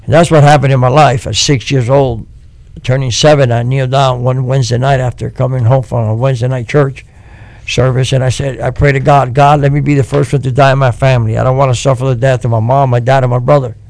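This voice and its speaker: Guy in his 50s telling his testimony on radio, lots of vocal fry, dry close sound. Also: medium pitch, little pitch movement, fast talker, darkish tone, no room sound. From Cuba and the United States. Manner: earnest, quotes scripture. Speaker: guest giving religious testimony. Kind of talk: personal testimony on a radio show.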